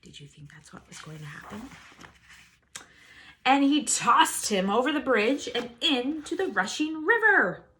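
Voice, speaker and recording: A woman teacher reading a storybook aloud and teaching children, expressive, posing questions to her listeners. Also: sits high, a little slow. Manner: expressive, asks listeners questions and answers them.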